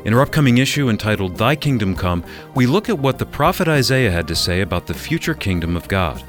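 A man's voice: fairly low pitch, very animated, a little fast. A man reading scripted promotional announcements as a radio announcer. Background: music bed.

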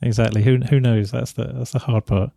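A man's voice: medium pitch, little pitch movement, fairly quick, gravelly, warm tone, no echo, clean studio sound. A man hosting a podcast, asking questions in a conversational interview style.

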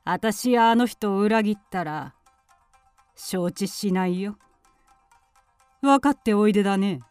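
A woman's voice: medium pitch, slow, a little mellow, smooth, no room sound, faint music behind, close to an everyday voice. A woman playing a spiteful, suspicious middle-aged aunt in a period radio drama, with a haughty, sneering, commanding manner. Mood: threatening. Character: spiteful, suspicious aunt and mistress of a village household.

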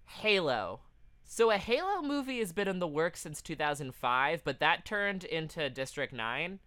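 A man's voice: a little high, big pitch swings, unhurried, bright, forceful tone, smooth, no room sound. A man co-hosting a podcast in casual chat, reading out a list with blunt, sweary asides. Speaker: podcast co-host.

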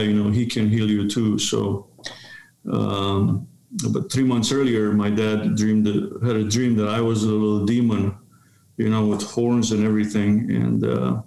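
A man in his fifties from Romania, a seminary professor answering an interview in a measured way with many fillers. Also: lowish voice, vocal fry, darkish tone, roomy sound, low-quality recording. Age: 50s.

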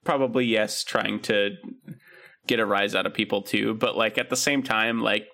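Gravelly-voiced man chatting casually. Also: fairly low pitch, fairly even intonation, normal speed, bright, forceful tone. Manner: rambling, many fillers and restarts. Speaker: podcast co-host. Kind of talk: casual chat.

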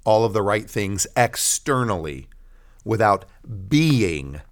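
A man's voice: lowish voice, sing-song, slow. A man, a pastor guest, giving personal testimony in a blunt, emphatic conversational way.

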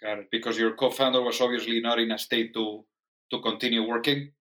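A man's voice: medium pitch, flat delivery, a little fast, a bit bright. A man hosting a podcast, asking questions and affirming answers with "I hear you" and "got it," often repeating words as he goes. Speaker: podcast host. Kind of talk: interview questions.

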